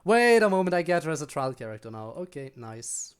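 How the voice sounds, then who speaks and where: A man's voice: on the high side, sing-song, a little fast, clean tone. A man streaming a game with casual live commentary, making wry asides and talking to himself.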